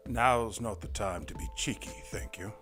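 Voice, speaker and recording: A man playing a formal, courteous, grave investigator in an audio drama, with measured, old-fashioned wording. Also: fairly low pitch, fairly bright tone, soft background music.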